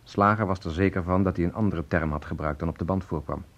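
A sceptical colleague in a Dutch radio drama. The voice is deep and rough-edged, with a questioning manner. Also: male voice, steady tone, fast talker. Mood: uneasy, probing. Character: sceptical, probing colleague at a broadcasting team. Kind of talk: Dutch radio play acting.

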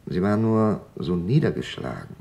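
An actor playing a grieving father who recalls wartime loss in a halting, reflective radio-play testimony. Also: low voice, big pitch swings, gravelly, dark tone, exaggerated voice. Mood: somber, subdued. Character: grieving father recalling his family's wartime arrest and deportation.

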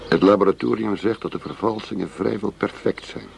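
An actor in a radio drama playing a sceptical undercover police officer, dry and matter-of-fact. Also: male voice, fairly low pitch, brisk, gravelly, a little mellow, a little hiss or hum. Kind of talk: Dutch radio drama dialogue.

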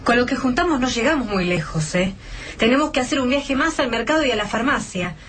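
An actress playing a determined, practical young survivor in a radio drama, with an Argentine accent. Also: female voice, on the high side, animated, brisk, fairly bright tone, soft background music. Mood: practical, wistful.

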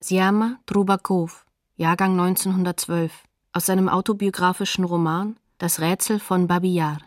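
An actress as a neutral documentary announcer, giving sources soberly and factually in a radio play. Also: female voice, fairly low pitch, fairly even intonation, moderate pace, no echo, clean studio sound, natural voice. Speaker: narrator naming sources, announcer of decrees.

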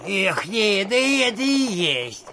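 An actor playing a rustic serf coachman in an audio drama, grumbling and slow-witted, muttering and calling out. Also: sits high, sing-song, vocal fry, bright, forceful tone, slight background noise, full character voice. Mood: scornful. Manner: drawling, muttering and calling to horses. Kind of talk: theatrical audio drama acting.

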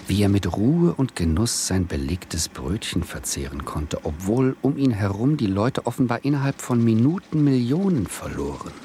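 A man's voice: lowish voice, very animated, normal speed, hardly any pauses, dark tone, a little hiss or hum. A man acting a meek, admiring novice investor who narrates a 1970s radio play in a modest, reflective manner. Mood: admiring, wry. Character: meek, admiring former socialist turned naive small investor.

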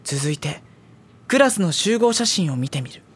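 An actor playing a reserved high school boy in an audio drama, narrating and talking in a polite, dry, exasperated manner. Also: sing-song, normal speed, breathy edge, heavily acted. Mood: pensive, uneasy.

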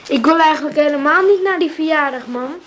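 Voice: high voice, brisk, fairly bright tone, a little hiss or hum. A performer playing a sensible, bossy young son who is stuck in bed, matter-of-fact and precocious, in a radio play. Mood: reluctant, worried. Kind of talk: naturalistic radio drama acting.

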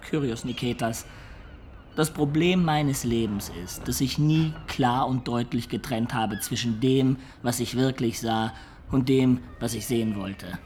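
An actor playing an imaginative peasant-born man who looks back on his life in a German radio play, in reflective, expressive storytelling. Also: medium pitch, a little slow, a little hiss or hum, close to an everyday voice. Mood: confessional.

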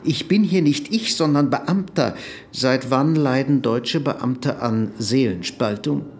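A man's voice: mid-range voice, takes it slowly, a little hiss or hum. An actor playing a desperate, proud former businessman on the run, insistent and protesting, in a German radio drama. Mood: indignant, desperate.

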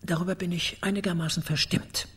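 An actor playing a haughty, indignant former minister with a raspy, creaky voice and a pompous manner in a radio play. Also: male voice, high-pitched, steady tone, brisk, a bit bright, heavily acted. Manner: pompous, clipped. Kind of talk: naturalistic radio play acting.

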